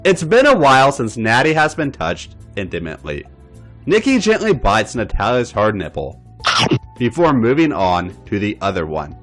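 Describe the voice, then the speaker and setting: A man narrating a story aloud as a podcast host, with an expressive reading style. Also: medium pitch, normal speed, faint music behind.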